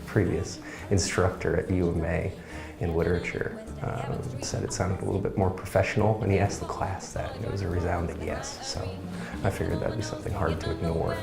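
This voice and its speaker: A soft-spoken young man answering interview questions in a relaxed, casual way. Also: low voice, brisk, gravelly, echoey room, music in the background. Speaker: student interviewee.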